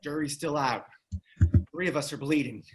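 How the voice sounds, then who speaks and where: A man's voice: fairly high pitch, normal speed, noisy background, lightly acted. A man acting in a script read as a combative, foul-mouthed armed activist, speaking in a blunt, wry way. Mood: dry, grim. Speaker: actor in a screenplay table read. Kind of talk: acted script reading.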